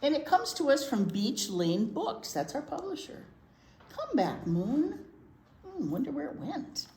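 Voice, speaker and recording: A woman reads a children's story aloud with big, lively swings in pitch and an unhurried pace. She is expressive and chatty, putting questions to young listeners. The room is echoey. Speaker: children's library teacher reading aloud. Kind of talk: animated picture-book read-aloud for young children. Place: indoor room at a library. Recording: roomy echo, noticeable background noise.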